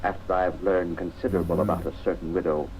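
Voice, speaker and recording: Low-voiced suave radio swindler , acted by a man. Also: fairly even intonation, fast, darkish tone, lightly acted. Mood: smug, businesslike. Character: suave, scheming middle-aged male swindler posing as medium. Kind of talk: old-time radio drama acting. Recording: noisy old radio recording.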